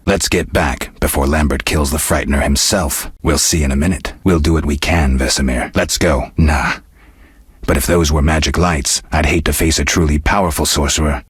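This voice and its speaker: An actor playing a stoic, world-weary monster hunter in a video game, gravelly and terse with a deadpan restraint. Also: male voice, extremely low pitch, dry recording, studio quality, heavily acted. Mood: brisk, commanding. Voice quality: gravelly, husky. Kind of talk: video game character dialogue.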